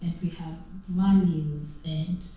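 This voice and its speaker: A woman from Kenya, a guest storyteller speaking earnestly and reflectively in a podcast interview, often pausing on "uh". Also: sits low, fairly even intonation, muffled recording. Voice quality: earnest, reflective, talks in short phrases with many "uh". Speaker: guest, storyteller and content creator. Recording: very echoey room, far from the mic.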